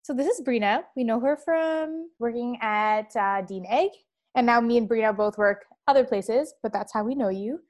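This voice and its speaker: A woman's voice: a little high, moderate pace. A woman hosting a casual podcast, asking her guest questions and laughing along. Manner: friendly interviewer, asks and laughs along. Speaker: podcast host. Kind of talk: casual chat.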